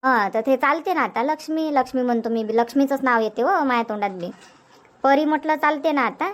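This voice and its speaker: A high-pitched, fussy village mother acted by a woman for a Marathi comedy cartoon. She has a Vidarbha accent and nags in a chatty, repetitive way, pressing her point insistently. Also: fairly quick, close to an everyday voice. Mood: resigned, fussing. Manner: chatty, nagging, repeats herself. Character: fussy, insistent middle-aged village mother urging daughter to stay. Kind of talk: homemade comedy cartoon dubbing.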